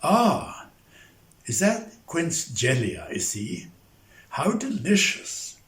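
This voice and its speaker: A man's voice: fairly high pitch, sing-song, very slow, a bit bright, full character voice. A man playing a fussy, pompous older clergyman in a dramatized novel reading, speaking formally. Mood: genteel. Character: fussy, genteel older clergyman uncle who loves jelly. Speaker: amateur actor in dramatized reading. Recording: echoey room sound.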